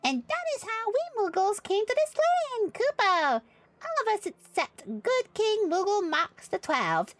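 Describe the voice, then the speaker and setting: High, chirpy little moogle creature. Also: female voice, big pitch swings, unhurried, soft background music, exaggerated voice. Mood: earnest, theatrical. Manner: theatrical, gushing, exclaims a verbal tic. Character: small chirpy moogle creature, earnest and reverent storyteller. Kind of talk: character voice reading game dialogue.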